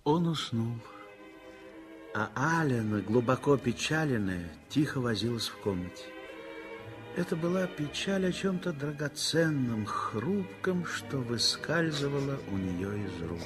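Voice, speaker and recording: A man narrating a 1960s radio play in a measured, sorrowful way. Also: mid-range voice, very animated. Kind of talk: scripted literary narration. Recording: vintage 1960s radio recording with faint music.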